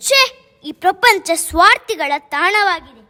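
A woman's voice: very high voice, expressive, unhurried, music in the background. A woman voicing a sorrowful river that weeps and laments being wronged, in declamatory speech. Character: weeping female river spirit, sorrowful and wronged. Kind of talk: amateur radio play.

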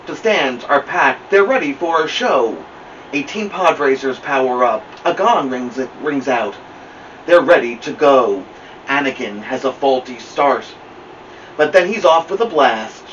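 A middle-aged man reading a children's picture book aloud as a storytime host, with dramatic, deliberate delivery. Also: medium pitch, fairly bright tone. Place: indoor room at home, seated by a plant. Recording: muffled, noisy, distant camera mic.

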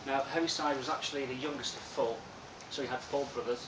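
A soft-spoken young man giving an informal lecture, relaxed and chatty with frequent ums. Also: mid-range voice, brisk. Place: lecture room with projector, indoors. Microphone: far room camera. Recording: echoey room from far off with loud hiss.

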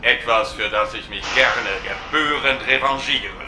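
A man playing a menacing male artificial intelligence of a truck in a radio drama, speaking slowly and formally. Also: medium pitch, close to an everyday voice. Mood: cold, vengeful. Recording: echoey, music underneath.

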